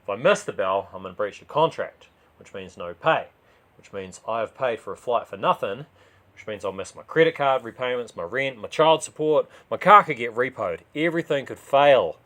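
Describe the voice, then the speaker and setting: A man's voice: mid-range voice, lively intonation, moderate pace, bright, forceful tone. A man reading a first-person crime story as a dramatised narration.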